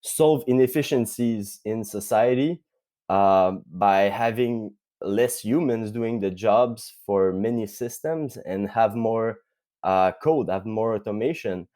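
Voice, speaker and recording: A young man from Quebec with a Quebec French accent, speaking as a guest on a tech podcast interview and pausing often with fillers. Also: on the low side, mellow. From Quebec, Canada. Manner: thoughtful, many filler pauses. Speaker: guest, tech company CTO.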